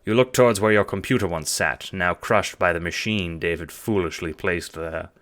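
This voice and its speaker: A man's voice: deep voice, normal speed, fairly bright tone. A man narrating a scripted story in the second person, in the style of an audio drama or audiobook. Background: music.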